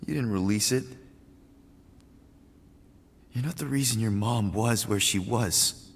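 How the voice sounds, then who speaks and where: An actor playing a gentle, awkward man in a video game, speaking haltingly and earnestly to reassure. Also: on the low side. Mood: earnest, firm. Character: gentle, awkward man consoling a grieving child. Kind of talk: naturalistic video game cutscene acting. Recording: long reverb, clear background noise.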